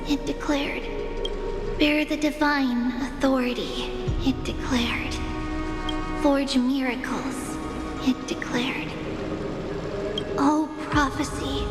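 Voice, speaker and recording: A high, unhurried female voice plays a solemn game priestess. She intones formally, as in a sacred rite. The sound rings in a long hall reverb with music behind it. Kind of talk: ceremonial video game voice acting.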